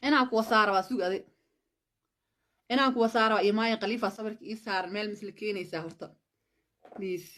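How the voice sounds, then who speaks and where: A woman's voice: mid-range voice, steady tone, quick pace, long pauses, fairly bright tone. A woman from Somalia, chatting casually on a livestream, switching languages and thanking viewers for gifts. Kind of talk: casual live-stream chat.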